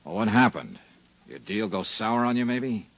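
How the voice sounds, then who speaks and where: A man's voice: lowish voice, expressive, moderate pace, a bit bright, no room sound. An actor playing a dry, wry, streetwise male insurance investigator in a 1950s radio drama. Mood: wary. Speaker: lead investigator.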